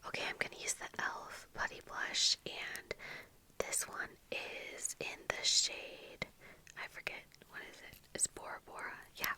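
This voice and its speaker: Female ASMR creator, whispered and unhurried, crisp bright hiss to the whisper, casual, halting get-ready chat. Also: poor audio. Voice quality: breathy unvoiced whisper. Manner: casual, halting, meandering storytelling. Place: small indoor room with bookshelves. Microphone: close furry-covered microphone on desk, earphones in.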